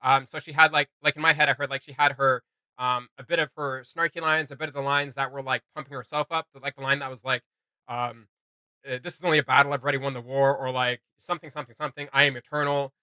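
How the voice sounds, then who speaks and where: A man co-hosting a reaction video, chatting casually in an animated, rambling way. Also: medium pitch, quick pace, clear, resonant tone, no room sound.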